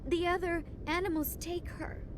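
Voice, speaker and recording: High-voiced, childlike, traumatized young woman. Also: level tone, background noise. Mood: haunted, distant. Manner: speaks of herself in third person, halting. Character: traumatized young former slave woman, childlike, fearful, dissociated. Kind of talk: dramatic video game acting.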